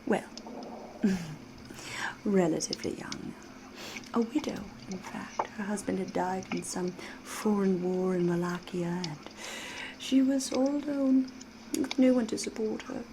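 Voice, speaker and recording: A woman acting out a female vampire, mysterious, flirtatious, veiled menace, medium pitch, hushed breathy ASMR storytelling, formal theatrical phrasing, clear background ambience noise. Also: lightly acted. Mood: measured, knowing. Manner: measured, theatrical, knowing, old-fashioned formal diction. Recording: steady ambient noise behind the voice.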